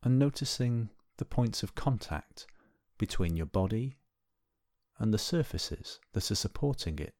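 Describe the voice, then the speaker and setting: A man gently leading a guided body scan meditation, giving unhurried instructions with pauses. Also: on the low side, lively intonation, no room sound, clean studio sound.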